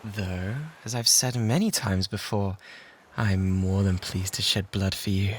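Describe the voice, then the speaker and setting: A man with a British accent playing an obsessive, lovesick pirate in an intimate ASMR roleplay, breathy and often whispering, pleading theatrically and devotedly. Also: deep voice, steady tone, a little slow. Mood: coaxing devotion. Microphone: close binaural microphone. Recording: close binaural recording with background ambience noise.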